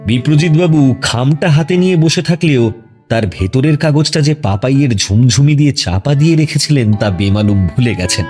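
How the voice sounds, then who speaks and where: A male narrator tells a scripted story with lively, expressive intonation at a mid pitch. Soft music runs behind him.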